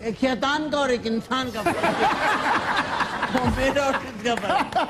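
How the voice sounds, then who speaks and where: A man with a very high voice hosts a festive talk show, teasing his guests and cracking jokes. Music plays clearly beneath his talk. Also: measured pace, a loud crowd. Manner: joking, teasing. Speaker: host. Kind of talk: comic talk show banter.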